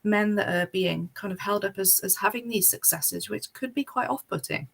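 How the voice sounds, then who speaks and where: A woman co-hosting a casual discussion, affirming her guest and then building on points with measured remarks. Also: mid-range voice, gravelly, breathy edge. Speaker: co-host.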